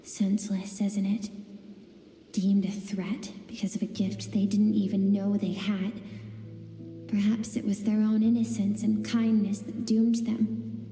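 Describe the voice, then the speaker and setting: A woman voicing a soft, sorrowful inner self. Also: medium pitch, level tone, unhurried, lightly acted. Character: gentle young woman, suppressed inner self of a swordswoman. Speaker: game character. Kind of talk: video game voice acting. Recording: roomy reverb under game music.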